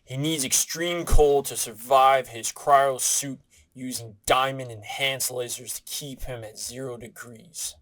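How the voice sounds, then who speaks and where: A man's voice: medium pitch, fairly even intonation, unhurried, a bit bright, lightly acted. A man playing a stern, brooding adult superhero guardian in an audio drama, speaking tersely and seriously. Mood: grave.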